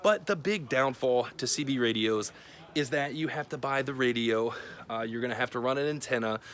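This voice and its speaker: A man's voice: medium pitch, normal speed, fairly bright tone. A man hosting a casual product review to camera in an informal, plain-spoken, conversational way. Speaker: video host.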